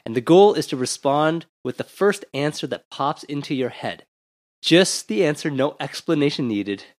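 Upbeat podcast host, dry sound. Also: male voice, a little high, animated, no room sound. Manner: upbeat, laughs easily, quick questions. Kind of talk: interview podcast with a rapid-fire question round.

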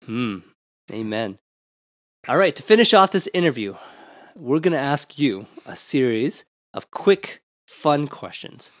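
Upbeat podcast host, dry sound. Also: male voice, mid-range voice, very animated, a bit bright, no echo. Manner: upbeat, laughs easily, quick questions. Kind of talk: interview podcast with a rapid-fire question round.

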